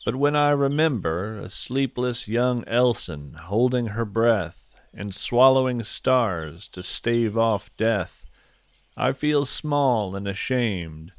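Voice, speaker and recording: A man hosting a scripted podcast, narrating whimsical nature fiction and poetry in an earnest, deliberate, conversational way. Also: medium pitch, long reverb tail.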